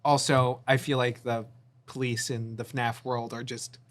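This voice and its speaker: A man's voice: mid-range voice, level tone, measured pace, fairly bright tone. A man co-hosting a casual movie discussion, often hesitant and trailing off mid-sentence. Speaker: podcast co-host.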